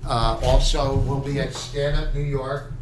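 A man's voice: medium pitch, steady tone, a little slow, noisy background. A man co-hosting a comedy podcast, teasing and prodding in casual banter.

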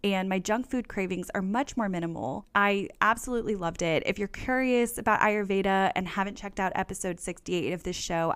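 Enthusiastic woman podcast host, mid pitch, dry close mic. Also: fairly bright tone, clear, resonant tone, no echo.

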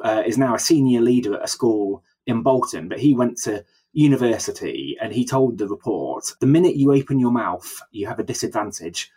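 A man's voice: on the low side, darkish tone, husky, poor audio. A man from the English Midlands, hosting a casual news podcast, speaking hesitantly with many fillers and stammered restarts. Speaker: podcast host.

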